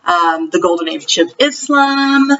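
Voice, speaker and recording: Bright-voiced, unhurried chatty woman. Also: fairly low pitch, lively intonation, recorded from a distance, highs cut off. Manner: chatty, enthusiastic. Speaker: homeschool vlogger. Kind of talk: casual vlog book review.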